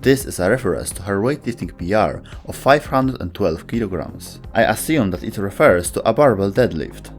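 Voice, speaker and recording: A man's voice: fairly low pitch, lively intonation, normal speed, few pauses. A man narrating a scripted video essay about game lore. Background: music.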